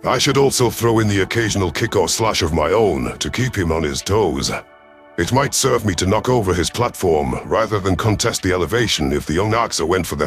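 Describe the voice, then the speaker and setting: Male narrator for a sci-fi audio story: deep register, measured dramatic read, light music bed. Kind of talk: dramatic first-person scripted narration.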